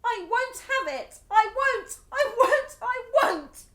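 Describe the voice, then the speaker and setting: A woman's voice: extremely high pitch, animated, takes it slowly, a bit bright, a little hiss or hum, full character voice. An actress playing a stubborn, devout, grieving mother in a radio drama. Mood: distraught. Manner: insistent, repetitive, emotionally volatile.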